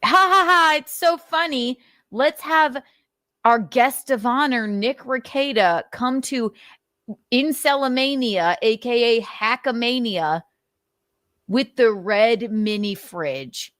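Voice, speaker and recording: A woman's voice: fairly high pitch, lively intonation, slow, fairly bright tone, dry recording, clean studio sound. A woman hosting a livestream, reading notes aloud and adding blunt, sweary, theatrical and sarcastic commentary. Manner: blunt, sweary, indignant asides. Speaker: livestream host.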